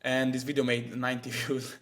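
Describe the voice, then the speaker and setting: A man's voice: medium pitch, little pitch movement, fairly quick, fairly bright tone. A man, chatting casually to camera as a vlogger with many fillers and asides. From Italy. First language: Italian. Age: 20s.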